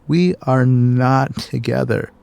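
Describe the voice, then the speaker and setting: A man's voice: mid-range voice, slow pace, creaky, a little mellow, no room sound. A man acting a hurt, accusatory fiancé leaving his partner in an audio drama. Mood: bitter, accusing. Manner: wounded, accusatory.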